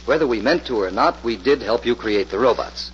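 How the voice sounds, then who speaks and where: An actor playing an earnest, resigned doctor held captive, speaking formally in a 1940s radio drama. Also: male voice, mid-range voice, lively intonation, a little fast, lightly acted. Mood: pleading, grave. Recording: muffled, noisy old radio recording.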